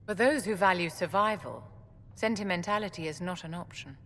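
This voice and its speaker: An actress playing a haughty, elegant court mage in a video game, measured and condescending. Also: female voice, lowish voice, a bit bright. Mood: pointed, superior. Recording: reverberant hall, faint background noise.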